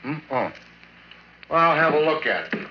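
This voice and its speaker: Man acting a weary, jittery geologist, very animated pitch. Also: normal speed, close to an everyday voice. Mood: casual, tired. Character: weary, jittery skinny geologist, scared of the dark. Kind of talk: old-time radio horror drama acting. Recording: dull, noisy old radio recording.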